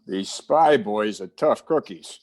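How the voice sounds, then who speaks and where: Man playing an animated tough-talking radio police detective. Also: fairly low pitch, heavily acted. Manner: slangy, tough-guy banter. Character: gruff-talking, friendly male police detective of radio drama. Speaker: community theatre voice actor. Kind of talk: 1940s radio detective acting.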